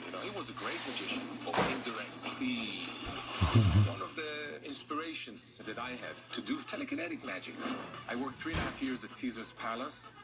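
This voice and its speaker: Lively magician over loud music. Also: male voice, medium pitch, low-quality recording. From Israel. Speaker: magician in a promo segment. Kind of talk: interview-style promo clip. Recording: TV clip with loud music behind.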